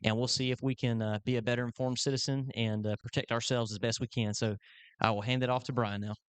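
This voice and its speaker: A man hosting a community meeting, friendly and halting, with many fillers and restarts. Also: mid-range voice, flat delivery, clear, resonant tone, no echo. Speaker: club chairman and event host. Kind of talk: opening a community meeting with prayer.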